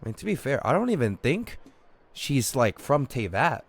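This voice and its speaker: A man's voice: mid-range voice, very animated, moderate pace. A man giving casual live commentary as a game streamer, blunt and informal, with some swearing. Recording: faint game music behind.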